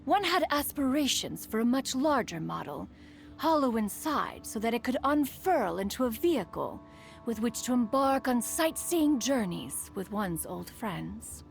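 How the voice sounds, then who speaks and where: A woman's voice: on the high side, big pitch swings, a little slow, gravelly, heavily acted. A woman voicing a proud, refined, aloof ancient immortal in a video game, speaking formally and loftily. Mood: solemn, dignified. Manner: formal, haughty, calls herself "one". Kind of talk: scripted video game character voice lines. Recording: music behind the voice.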